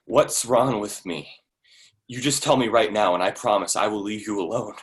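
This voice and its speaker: A man's voice: on the low side, normal speed, natural voice. An actor playing an emotional, bitter and sarcastic man in a staged play reading. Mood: bitter, confrontational. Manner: emotional, sarcastic, stammers when worked up. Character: volatile, bitter man caught in a love affair.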